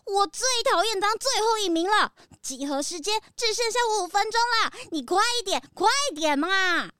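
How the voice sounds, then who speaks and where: 尖細急切的小動物. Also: female voice, very high-pitched, animated, normal speed, bright, forceful tone, dry recording, full character voice. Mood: impatient. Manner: perky, exclamatory. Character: small eager cartoon animal, rabbit or hamster, impatient. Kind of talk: children's detective audio drama acting.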